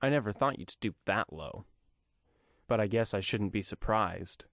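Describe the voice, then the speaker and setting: A man's voice: fairly low pitch, lively intonation, unhurried, fairly bright tone, no room sound. A sardonic young man in a cloak, the hero's brother as a male narrator plays him, talks with mocking impatience. It is an audio comic voice, recorded very dry. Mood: mocking, irritated. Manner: mocking, exasperated.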